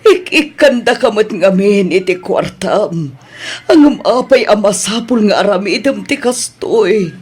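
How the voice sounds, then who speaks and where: A woman acting a husky, worried mother. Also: medium pitch, animated, measured pace, darkish tone. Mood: stern, upset. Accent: Filipino. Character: worried, scolding mother of a grown son. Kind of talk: Ilocano radio-style drama acting.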